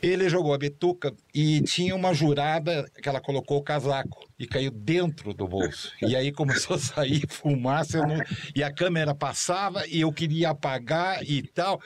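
An older man and former show producer, recounting stories in a rambling way with slow, low asides. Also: no echo. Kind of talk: anecdotal storytelling.

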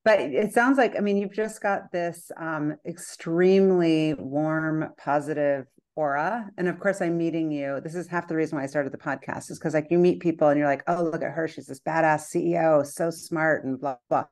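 A woman's voice: low-pitched, moderate pace, hardly any pauses, darkish tone. A woman hosting a podcast, chatting enthusiastically with her guest and saying "like" a lot. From Kazakhstan. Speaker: podcast host. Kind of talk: casual interview chat.

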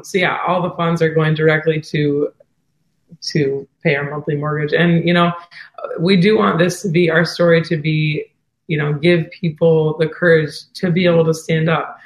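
A woman's voice: low-pitched, echoey room, rough recording. A woman guest who is losing her voice, speaking in an earnest, heartfelt, faith-driven way about running a wedding venue. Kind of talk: earnest interview talk.

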